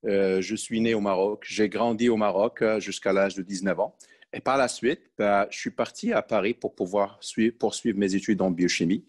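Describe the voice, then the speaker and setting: Hesitant Moroccan-born man, low voice. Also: normal speed, a little mellow. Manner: hesitant, many fillers and restarts. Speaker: podcast guest, sales manager. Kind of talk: conversational interview.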